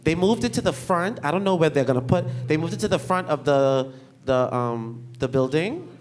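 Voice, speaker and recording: A man asking a panel a question, halting and full of restarts. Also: on the high side, lively intonation, a little mellow. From Bahamas. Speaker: audience member asking questions. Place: university lecture hall.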